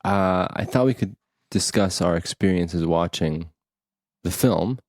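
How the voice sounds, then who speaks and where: A man with a very deep voice co-hosts a film podcast. He chats casually and complains with dry exaggeration. His voice is recorded close and dry, with no room around it. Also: measured pace, dry recording. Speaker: podcast co-host. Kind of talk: casual chat about films.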